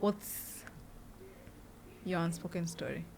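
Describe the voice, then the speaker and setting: A Kenyan woman hosting a podcast, with a loud voice and a loud laugh, talking casually and rambling with many restarts. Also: on the low side, slow pace, creaky, background noise. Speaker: podcast host. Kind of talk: casual interview chat.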